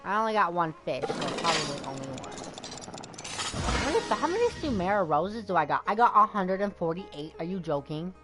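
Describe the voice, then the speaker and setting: A woman's voice: on the low side, sing-song, brisk, over music. A woman giving casual gameplay commentary as a game streamer, rambling and thinking aloud in informal, slangy speech.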